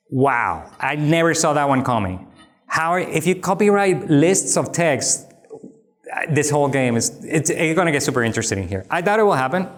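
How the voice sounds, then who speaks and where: Guy, answering crowd questions after a talk, lively and emphatic, jokey asides, ordinary pitch. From Dominican Republic. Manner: animated and emphatic, wry asides, frequent restarts. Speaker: guest speaker answering audience questions. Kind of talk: lecture Q&A, conversational.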